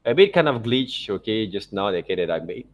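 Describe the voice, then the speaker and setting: A man teaching digital illustration in an informal software demonstration, thinking aloud and often checking in with okay and right. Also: fairly low pitch, big pitch swings, a little fast.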